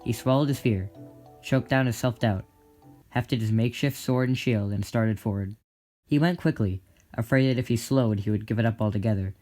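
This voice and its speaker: A man's voice: mid-range voice, normal speed, darkish tone. A man narrating a scripted story in a dramatic style, with music behind his voice. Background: clear music.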